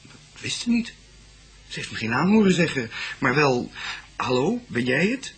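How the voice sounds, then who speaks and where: A man's voice: on the high side, sing-song, normal speed, a bit bright, breathy edge, exaggerated voice. An actor playing a young male student in a Dutch radio police drama, explaining things in a hesitant, informal, faltering way. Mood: uneasy, explaining.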